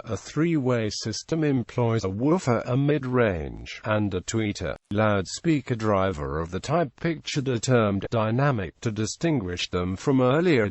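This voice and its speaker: A man delivers scripted, encyclopedia-style narration in a mid-pitched voice. He reads in a measured, impersonal manner, and the sound is very dry, with no room around it. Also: a little mellow, no room sound.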